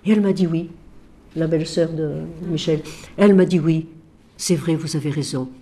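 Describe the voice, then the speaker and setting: A woman, a Franciscan sister and author, talks with feeling about her book, swinging from emphatic questions to quiet asides while she has a cold. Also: low voice, moderate pace. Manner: expressive, shifting from loud emphasis to quiet asides; asks rhetorical questions.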